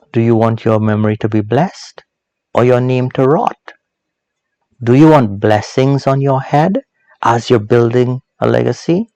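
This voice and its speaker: A man hosting a teaching series, speaking in a reflective, sermon-like but informal way, deliberate and emphatic, with repeated phrases and rhetorical questions. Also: medium pitch, a little mellow, no echo. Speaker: host of a Bible proverbs teaching series.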